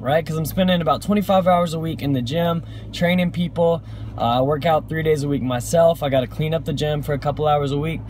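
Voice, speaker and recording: A man in his twenties talking casually to camera like a gym trainer, in an upbeat, slangy, folksy way. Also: fairly high pitch. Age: 20s. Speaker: gym owner and personal trainer. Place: inside a car. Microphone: phone at arm's length. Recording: noisy car interior with engine and road hum.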